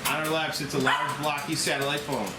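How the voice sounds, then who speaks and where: A man's voice: on the high side, flat delivery, brisk, very noisy. A man reading scripted stage directions aloud at a table read, occasionally stumbling and repeating words. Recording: echoey, distant mic with loud music.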